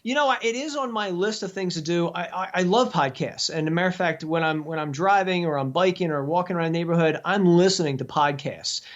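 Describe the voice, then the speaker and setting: A man's voice: on the high side, fairly quick, hardly any pauses. Man, guest expert, chatty, restarts sentences, dull lo-fi audio. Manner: chatty, explanatory, many fillers and restarts. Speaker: exercise physiologist and guest. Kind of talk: casual interview over a call. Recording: dull lo-fi sound.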